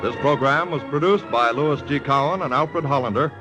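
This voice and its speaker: A man's voice: on the high side, moderate pace, over music, rough recording. A man reading scripted credits as an announcer in 1940s radio style, on a vintage recording.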